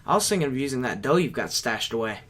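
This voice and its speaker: Male actor as cocky young swing kid for radio play; forceful, very animated delivery, slangy teasing. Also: medium pitch, fast talker, a little hiss or hum.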